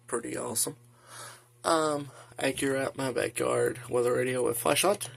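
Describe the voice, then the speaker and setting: A man talking casually and haltingly through an unscripted show-and-tell as a hobbyist. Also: medium pitch, steady tone, vocal fry. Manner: halting, hesitant, informal. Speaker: hobbyist video host showing a weather radio collection. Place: indoor room at home. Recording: noisy home video with clicks.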